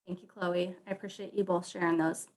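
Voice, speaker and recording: A woman's voice: lowish voice, little pitch movement, fairly quick, a little mellow. Woman panel moderator, encouraging tone, reads audience questions.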